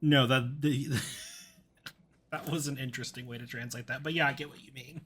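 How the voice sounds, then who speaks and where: A man with a Texan accent chatting casually as a podcast co-host, easygoing and quick to laugh. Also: mid-range voice, steady tone, fairly bright tone, husky. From Texas. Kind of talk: casual conversation.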